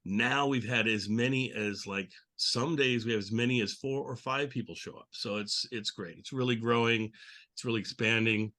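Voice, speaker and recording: A man's voice: mid-range voice, moderate pace, bright, forceful tone. A man hosting with a casual, chatty introduction to his viewers. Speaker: video host.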